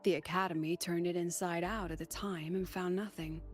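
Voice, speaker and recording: A woman playing a poised, intrigued academy president in a video game, speaking in a measured, teasing way with theatrical pauses. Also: fairly low pitch, fairly even intonation, soft background music, natural voice. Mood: teasing curiosity.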